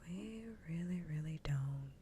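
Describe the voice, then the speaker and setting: A woman speaking softly and breathily, often whispering, in a casual ASMR livestream chat close to the microphone. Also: low voice, lively intonation, background noise. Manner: hushed and unhurried. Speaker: VTuber streamer.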